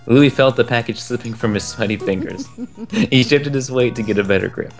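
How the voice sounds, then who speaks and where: A young man reading a children's book aloud in a relaxed way, chuckling now and then at the text. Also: mid-range voice, moderate pace. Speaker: storyteller. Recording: soft game music behind the voice.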